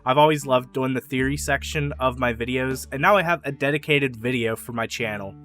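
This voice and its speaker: A young man talks through fan theories on his own channel, loose and rambling, with a bright, forward tone. His voice is getting a little hoarse from talking so long. Soft guitar plays faintly behind him. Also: mid-range voice, fairly quick. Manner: rambling, enthusiastic, with fillers and small laughs. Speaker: YouTube theory channel host. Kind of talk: casual solo theory talk to camera.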